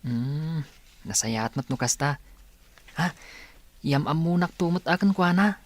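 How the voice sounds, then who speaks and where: A man's voice: on the high side, animated, a little slow. A man playing a friendly young student and best friend in an Ilocano radio drama. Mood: friendly, casual.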